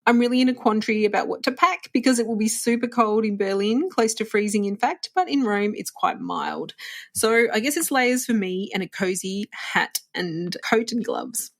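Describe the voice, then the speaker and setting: A woman's voice: fairly high pitch, normal speed. A woman hosting a travel podcast, upbeat and conversational, chatting with her guests. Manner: upbeat, conversational, gives tips. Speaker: podcast host.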